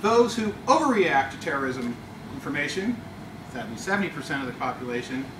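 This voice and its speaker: A man playing a smug, deadpan government spokesman. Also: a little high, a little fast, voice pushed down. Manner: dry, mock-official, condescending. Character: pompous male government spokesman, smug and bureaucratic. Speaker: Toastmasters contest speaker. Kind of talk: deadpan comic speech in character as a government official. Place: meeting room before a small audience. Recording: echoey room, distant mic, noisy.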